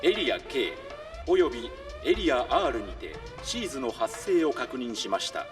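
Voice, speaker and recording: A man's voice: medium pitch, big pitch swings, measured pace. A man playing a formal control-room announcer in an anime-style voice drama, clipped and procedural. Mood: official. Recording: distant, echoing announcement over music.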